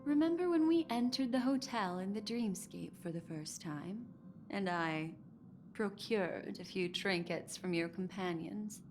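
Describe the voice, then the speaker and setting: A woman's voice: medium pitch, lively intonation, unhurried, a little mellow. An actress voicing an enigmatic, teasing and seductive woman in a video game, poised and coy with lingering, theatrical phrasing. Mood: smooth, explaining. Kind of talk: scripted video game character dialogue. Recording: clean voice over game music.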